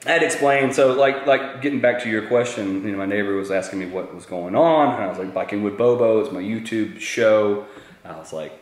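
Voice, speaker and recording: A man's voice: lowish voice, brisk. Thirties guy from South Carolina, laughs at himself, crude humor, echoey room. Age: 30s. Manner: laughing, crude, self-mocking. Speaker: interview guest, YouTube creator. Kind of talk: casual storytelling chat.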